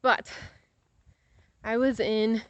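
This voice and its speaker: A woman's voice: on the high side, little pitch movement, very slow, dry recording, dull audio. A young American woman chats casually while walking outdoors, rambling with playful exaggeration and easily sidetracked. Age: young adult. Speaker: vlogger.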